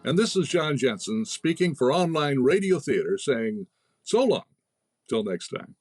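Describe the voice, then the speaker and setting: A male announcer reads closing credits in a measured, formal radio style. His pitch moves lively up and down, with soft music underneath. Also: breathy edge.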